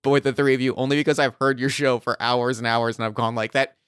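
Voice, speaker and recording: A man hosting a casual podcast chat, talking in an excitable, gushing, rambling way. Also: mid-range voice, fast talker, clear, resonant tone, no echo, studio quality. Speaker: podcast host.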